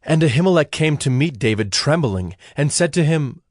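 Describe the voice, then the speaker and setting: A man's voice: fairly high pitch, expressive, normal speed, dry recording, clean studio sound. A man narrating a dramatized Bible story from a script.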